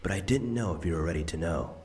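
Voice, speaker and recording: A man voicing the spirit of an elderly grandfather, speaking softly and patiently. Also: low voice, fast talker, lightly acted. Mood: tender. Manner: soft and tender, explaining patiently. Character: gentle elderly grandfather's spirit, loving and regretful. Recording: music bed under voice.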